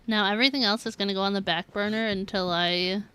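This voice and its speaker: A woman's voice: medium pitch, fairly even intonation, fast, sharp tone, smooth. A woman chatting casually and playfully on a gaming livestream, giggly and given to exclamations. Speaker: game live streamer.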